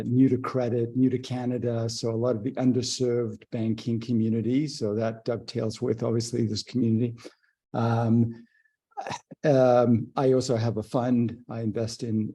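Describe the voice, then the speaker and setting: A man born in Zambia introducing himself on a video call, halting often with fillers and restarts. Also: medium pitch, mellow, breathy edge. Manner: hesitant, many fillers and restarts. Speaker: fintech leader and investor.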